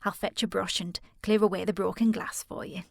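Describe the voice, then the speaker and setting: An actress playing a soothing, dutiful housekeeper in a period audio drama, deferential and formal, with a husky, creaky voice. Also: female voice, mid-range voice, fairly even intonation, brisk, no room sound, clean studio sound, lightly acted. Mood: brisk, caring.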